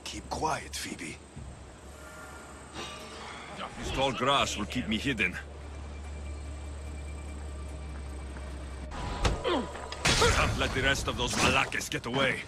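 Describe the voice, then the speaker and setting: An actor playing a young, sardonic, impatient mercenary hero in a video game, speaking dryly and bluntly. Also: male voice, medium pitch, very animated, measured pace, background noise. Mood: determined. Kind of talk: video game character acting.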